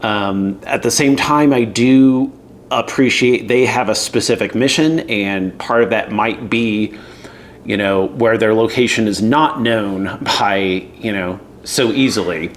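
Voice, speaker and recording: A man's voice: fairly low pitch, a little slow, slight background noise. A man giving casual, opinionated commentary in a conversational, emphatic way. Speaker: commentator reacting to a news segment.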